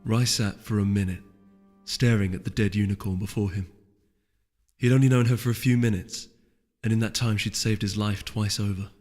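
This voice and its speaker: A man reading fantasy fiction as a story narrator, in an expressive, suspenseful scripted style. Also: fairly low pitch, normal speed. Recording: long hall reverb under music.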